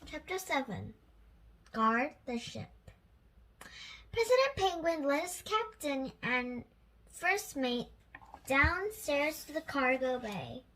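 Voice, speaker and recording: A child with a high, bright voice reads a storybook aloud. The delivery is slow and careful, with the odd repeated word, and the pitch swings in a lively way. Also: slight background noise, poor audio. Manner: careful oral reading with repeated words and stumbles.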